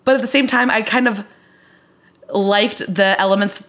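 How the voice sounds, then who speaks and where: A woman's voice: mid-range voice, fairly even intonation, moderate pace, fairly bright tone. A woman co-hosting a podcast, talking through her opinions on television in a casual, conversational way. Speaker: podcast co-host. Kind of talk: casual TV review chat.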